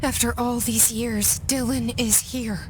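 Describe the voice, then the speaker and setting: A woman's voice: fairly high pitch, fairly even intonation, unhurried, noisy background, lightly acted. An actress playing a wary, determined young woman searching for her brother in a video game, blunt and wry in dramatic dialogue and inner monologue. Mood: anxious. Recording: music behind.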